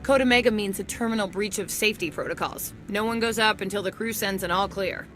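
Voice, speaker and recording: A woman playing an undercover internal affairs agent in a video game, brisk and matter-of-fact with a dryly sardonic edge. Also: mid-range voice, fairly bright tone, faint music behind, close to an everyday voice. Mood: businesslike. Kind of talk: video game dialogue acting.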